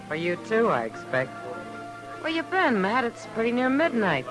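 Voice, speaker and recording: Knowing easygoing saloon gal, high american voice, old radio western, music behind. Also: female voice, huge pitch swings, unhurried, poor audio. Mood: wry, friendly. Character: easygoing, wry saloon woman of the Old West. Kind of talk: 1950s Western radio acting.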